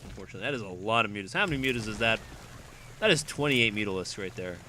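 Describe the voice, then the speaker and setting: A young man with a raspy voice calling a strategy game alone, excitable and quick to shout when fights break out. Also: on the low side, noisy background. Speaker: esports caster. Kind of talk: solo play-by-play game commentary.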